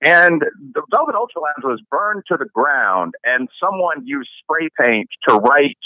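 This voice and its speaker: A man's voice: on the high side, sing-song, measured pace, no echo. Man on a dry, muffled line. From Chicago area, USA. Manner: fluent, listing cases one after another. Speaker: professor, guest author. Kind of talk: interview answers.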